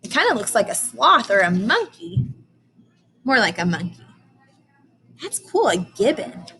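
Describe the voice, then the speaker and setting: A woman's voice: mid-range voice, sing-song, measured pace, bright, forceful tone, a little hiss or hum, rough recording. A woman teacher reading aloud to young children, explaining and asking questions in simple, emphatic language.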